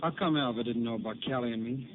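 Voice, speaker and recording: Man as estranged young cowboy, pleading drawl, quick delivery, thin phone-like old radio sound. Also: mid-range voice, close to an everyday voice. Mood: defensive. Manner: rural drawl, pleading and defensive. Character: estranged young cowboy returning home, defensive. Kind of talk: 1950s radio drama acting. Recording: phone-narrow old radio transcription with hiss.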